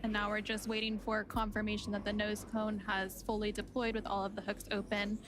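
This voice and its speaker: A woman's voice: mid-range voice, completely flat delivery, a little fast, crowd noise. A woman giving live broadcast commentary as a launch presenter.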